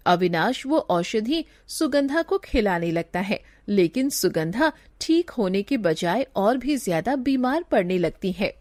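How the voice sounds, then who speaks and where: A woman's voice: medium pitch, lively intonation, measured pace, few pauses, a little mellow, dry recording, clean studio sound. A woman giving scripted narration of a story as its narrator.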